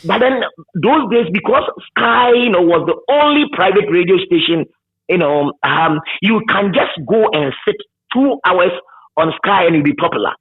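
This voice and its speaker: High-pitched Ghanaian man on a phone-like line. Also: animated, normal speed, breathy edge. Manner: emphatic, repeats words, hesitant searching starts. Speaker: radio presenter being interviewed. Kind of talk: reminiscing in conversation.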